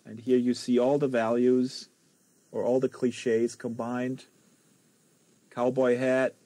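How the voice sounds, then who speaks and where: Male stream host, soft mellow tone, dry close sound, rambling and conversational delivery. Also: medium pitch, little pitch movement, slow pace, no echo. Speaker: host of a live book-reading stream. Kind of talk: casual livestream chat.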